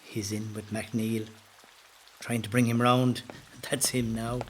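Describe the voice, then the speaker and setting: An actor with an Irish accent playing a rebel's affable, wry brother in a first-person audio drama monologue. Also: male voice, fairly low pitch, level tone, takes it slowly, slight background noise. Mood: confiding, concerned.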